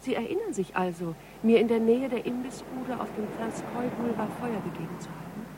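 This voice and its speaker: An actress playing a polite but persistent police investigator questioning a suspect in a radio crime drama. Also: female voice, a little high, animated, fairly quick, dark tone, a little hiss or hum, close to an everyday voice. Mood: insistent. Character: tall gaunt policewoman, probing, polite, persistent interrogator.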